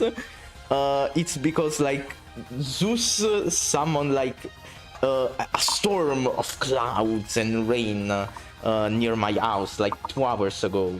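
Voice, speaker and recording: Excitable Italian-accented male game streamer. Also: mid-range voice. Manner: excitable, swearing, reading chat aloud. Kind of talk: casual gameplay commentary.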